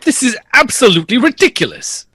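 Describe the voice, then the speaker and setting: A man's voice: extremely high pitch, huge pitch swings, a little fast, pushed and bright, breathy edge, dry recording, studio quality, heavily acted. An actor playing an exasperated, commanding bandleader father in an audio drama, speaking with emphatic, formal authority.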